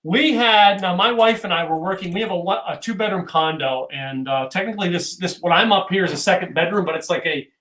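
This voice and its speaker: A man's voice: high voice, brisk, few pauses, bright, forceful tone. A man hosting a casual video-call interview from a loft room at home, rambling and joking with lots of fillers. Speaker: show host.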